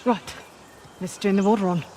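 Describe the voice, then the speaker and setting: An actress playing a blunt, guarded woman mercenary in a video game, terse and deadpan. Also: medium pitch, normal speed, slight background noise, natural voice. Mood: guarded, dry. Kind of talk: naturalistic video game acting.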